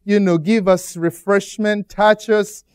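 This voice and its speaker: A middle-aged man from Uganda with a Ugandan accent, speaking as a guest pastor to a church congregation, pausing often as he preaches. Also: sits high, steady tone, darkish tone, clear, resonant tone, no room sound, studio quality. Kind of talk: church greeting and sermon.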